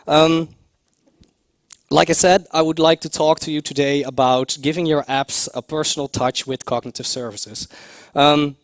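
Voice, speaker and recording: Slightly hoarse man presenting. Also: fairly high pitch, fairly even intonation, a little slow, dry recording. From Netherlands. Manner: hesitant, many fillers and restarts. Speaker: conference speaker. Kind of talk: conference talk. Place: lecture room at a tech conference.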